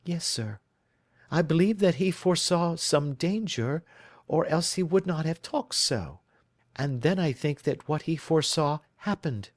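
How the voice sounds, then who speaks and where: Male reader doing a distressed young woman, raised voice, lively pleading lilt, for an audiobook. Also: on the high side, unhurried, dry recording, clean studio sound, pitched above the performer's own voice. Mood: earnest. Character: earnest young woman, anxious, devoted to her missing fiancé.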